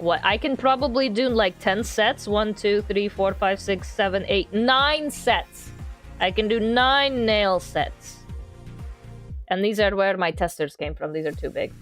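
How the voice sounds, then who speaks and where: A woman livestreamer chatting casually with her viewers in a playful, rambling way, often repeating herself. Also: medium pitch. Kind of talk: casual chat with viewers while doing crafts on stream.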